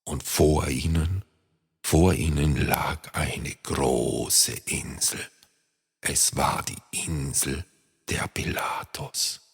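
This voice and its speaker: A man narrating a children's story in a lively manner, speaking to young listeners. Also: extremely low pitch, very slow, gravelly, husky. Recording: long reverb.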